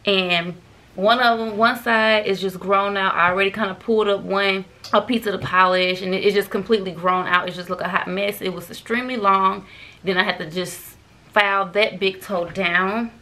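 Woman, 30s, gravelly fry, rambling vlog chat, bedroom, camera mic. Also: mid-range voice, steady tone, normal speed, pushed and bright. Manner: chatty, addresses viewers as y'all, frustrated rambling. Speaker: vlogger. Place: bedroom at home, indoors.

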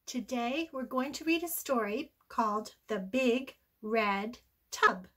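An older woman reading a children's story aloud in an animated, sing-song, expressive way. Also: fairly high pitch, slow pace. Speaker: storybook read-aloud host.

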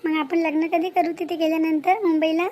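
A woman's voice: very high voice, level tone, a little fast, darkish tone. A woman voicing a dreamy, plaintive young village woman in a cartoon, with a Vidarbha Marathi accent. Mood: earnest. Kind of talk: amateur cartoon dialogue.

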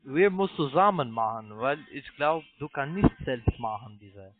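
Halting foreign-accented man on a phone line. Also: mid-range voice, animated. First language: not German. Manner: halting, broken German with repeated restarts. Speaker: phone sales agent, investment scam caller. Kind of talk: sales pitch on a phone call. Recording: tinny narrow phone line.

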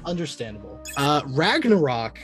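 A man's voice: on the high side, sing-song, normal speed, fairly bright tone. A young adult man in casual chat as a co-host, speaking informally and swearing freely. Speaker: co-host of a fan ranking show. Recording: music in the background.

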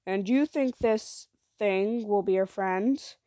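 A woman voicing a cautious, dry-humoured father in a storybook, measured and serious. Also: mid-range voice, warm tone, dry recording, natural voice. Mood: doubtful.

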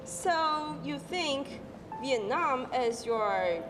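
A teenage girl with a Taiwanese accent playing a caring mother in a school stage play, with a deliberate delivery. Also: high voice, animated, heavily acted. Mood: gentle. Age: teens. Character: caring mother, gentle and proud. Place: large school auditorium stage. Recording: echoey hall with music behind.

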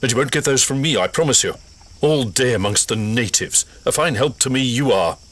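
A resentful, drunken colonial trader in an audio drama, with a husky voice and a lively, mocking pitch. He sneers at everyone around him. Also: a little fast, no room sound. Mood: sneering.

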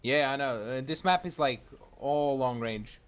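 A man's voice: mid-range voice, measured pace, a bit bright, a little hiss or hum. A man in his twenties giving casual gameplay banter, with profane and urgent callouts to his teammate. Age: 20s. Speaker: gaming video creator.

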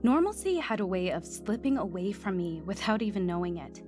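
A woman's voice: medium pitch, big pitch swings, a little fast, lightly acted. A woman playing a stubborn, troubled woman, music behind. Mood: reflective. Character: troubled, stubborn woman recounting and reliving her drug-ridden life. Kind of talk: audio drama acting with narration.

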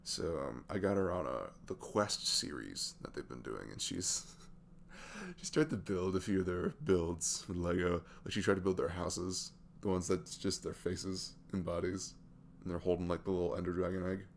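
A man in his twenties playing a sweet, easygoing single dad in an intimate ASMR audio roleplay, chatting haltingly in a velvety voice. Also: animated, slight background noise. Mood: fond, amused. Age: 20s. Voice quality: deep, gravelly velvet voice. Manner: halting, chuckling, conversational.

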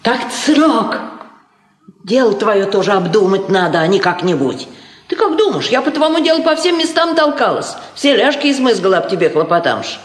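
A woman's voice: medium pitch, big pitch swings, normal speed, lightly acted. An actress playing a scheming old Russian peasant mother in a 1950s Soviet radio drama, folksy and insistent, with rustic dialect. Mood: sly coaxing. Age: older. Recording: roomy vintage radio studio recording.

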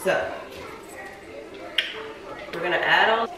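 A young woman with a low voice gives a casual cooking demonstration. She talks slowly and informally while busy at the stove. The recording is roomy, with clear kitchen noise. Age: young adult. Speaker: home cooking vlogger. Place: home kitchen, indoors. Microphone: camera mic a few feet away.

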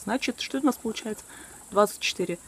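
A woman's voice: mid-range voice, quick pace, fairly bright tone, slight background noise. A woman in her early forties talking casually and candidly to a camera on a selfie stick, outdoors in a garden, with a few self-mocking laughs. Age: 40s. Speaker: vlogger. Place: outdoors by a wooden shed in a garden. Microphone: selfie stick camera at arm's length.

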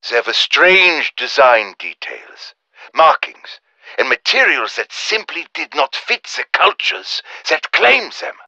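A man's voice: on the high side, very animated, slow pace, sharp tone, no echo, dull audio, heavily acted. A man playing an earnest, erudite middle-aged professor of demonology in a video game, speaking formally and precisely with theatrical delivery. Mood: scholarly, ominous. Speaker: video game character, scholar adviser. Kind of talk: dramatic game voice acting, radio briefing monologue.

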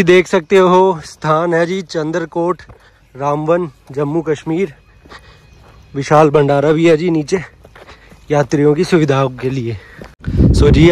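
A man's voice: fairly high pitch, a little mellow, slight background noise. A man vlogging a road trip, chatting casually to viewers while filming outdoors and around a rest house. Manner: chatty, keeps saying "so ji" and "dekh sakte ho". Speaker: travel vlogger. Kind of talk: handheld travel vlog narration.

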